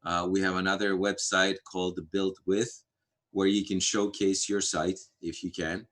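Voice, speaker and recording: A man from Greece, a Greek native speaker, talking casually on a video-call livestream, pausing often with fillers and restarts. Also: deep voice, little pitch movement, fairly bright tone. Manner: halting, many fillers and restarts. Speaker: framework core team member.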